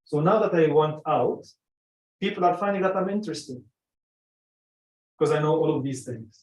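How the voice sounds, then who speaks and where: A man's voice: on the high side, expressive, normal speed, a little mellow. A middle-aged man, a native Arabic speaker, giving an informal talk to a small group in an emphatic way, repeating phrases for weight. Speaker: speaker at a community meeting on personal branding. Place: indoor meeting room. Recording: echoey room, far from the mic.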